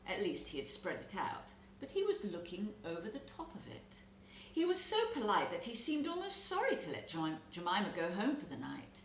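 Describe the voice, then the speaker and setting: A woman reading a children's story aloud as an expressive narrator. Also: medium pitch, normal speed. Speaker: storybook reader. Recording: roomy echo, background noise.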